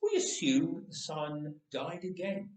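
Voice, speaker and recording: Male church storyteller, fairly high voice, recorded from a distance in a roomy space. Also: very animated, a little slow, a little mellow. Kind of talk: spoken storytelling to a church gathering. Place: church, voice echoing.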